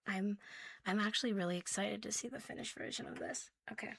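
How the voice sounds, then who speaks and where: A woman hosting a soft ASMR show-and-tell in a playful, cutesy catgirl persona, breathy and often slipping into a whisper. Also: mid-range voice, brisk, dry recording. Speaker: ASMR host.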